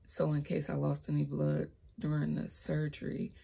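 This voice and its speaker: A young woman with a low, raspy voice tells her own story in a casual vlog. She talks hesitantly, trips over her words and drops into soft whispered asides, and her voice cracks when she raises it. She records on a laptop mic. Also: monotone, moderate pace. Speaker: vlogger telling her life story. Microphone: laptop built-in mic.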